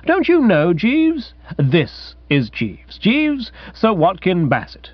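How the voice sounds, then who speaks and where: A man voicing a breezy, excitable young upper-class English gentleman in a comic audiobook, chirpy and indignant, with old-fashioned slang. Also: high voice, slow pace, dry recording, muffled recording, pitched above the performer's own voice, exaggerated voice. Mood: cheerful. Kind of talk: comic audiobook character acting.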